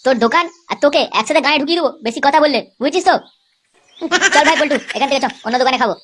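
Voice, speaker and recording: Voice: high-pitched, very fast, fairly bright tone, a little hiss or hum. A performer voicing a cocky, foul-mouthed young village lad in a comic cartoon, brash and boastful. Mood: belligerent.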